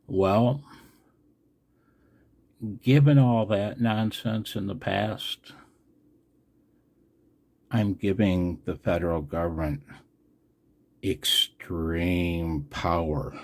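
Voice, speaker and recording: An older man from the United States in a casual, unscripted vlog as a radio operator, blunt and wry with a gravelly, husky voice. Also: low-pitched, fairly even intonation, extremely slow, long pauses. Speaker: amateur radio operator and video host. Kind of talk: casual, unscripted video commentary.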